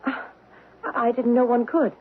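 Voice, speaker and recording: A woman acts an anxious young housewife in a vintage radio play. Her fairly high voice is flustered, halting and full of stammers. The broadcast sounds thin with faint noise. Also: little pitch movement, lightly acted. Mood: nervous, flustered. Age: young adult. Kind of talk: 1970s radio drama acting. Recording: tinny, noisy old radio broadcast.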